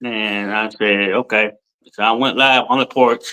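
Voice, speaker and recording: A man's voice: on the low side, fairly even intonation, measured pace, sharp tone, poor audio. A man in his forties, a guest telling his story in casual chat, rambling and repeating himself, with informal colloquial speech, over a video call. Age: 40s.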